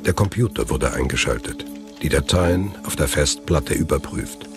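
Very deep male thriller narrator. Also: lively intonation, moderate pace, over music. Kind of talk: scripted thriller audio drama narration.

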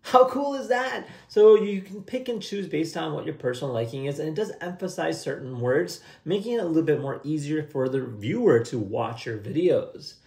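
A man's voice: fairly high pitch, lively intonation, moderate pace, gravelly, few pauses, darkish tone. A young adult man giving a casual, upbeat, conversational screen-share tutorial. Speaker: host of a software tutorial channel. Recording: roomy, echoey indoor sound.

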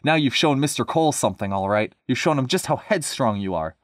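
A man's voice: mid-range voice, animated, brisk, dry recording, clean studio sound, natural voice. A man voicing a stern, sensible female diesel engine in a storybook, with a firm, scolding manner.

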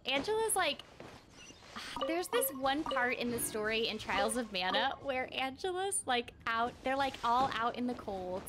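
A woman's voice: high-pitched, background noise. A young woman chatting casually and informally on a game livestream, with a bubbly manner, close on the mic. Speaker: game streamer and voice actress. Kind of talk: casual livestream chat over gameplay. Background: game music.

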